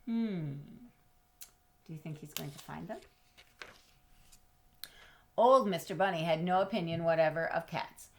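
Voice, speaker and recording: A woman reading a children's storybook aloud expressively, with dramatic pauses, drawn-out words and questions to her young listeners. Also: lowish voice. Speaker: storybook reader.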